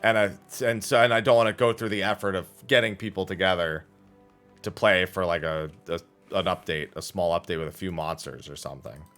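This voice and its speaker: A man's voice: low-pitched, fairly quick, a bit bright, soft background music. A man livestreaming casual gaming chat in a blunt, opinionated way on a close microphone.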